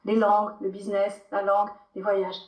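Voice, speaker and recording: A woman with a French accent, a podcast guest telling her story in a loose, animated way with many fillers and restarts. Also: mid-range voice, moderate pace, lo-fi sound. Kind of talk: casual podcast interview. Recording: echoey room.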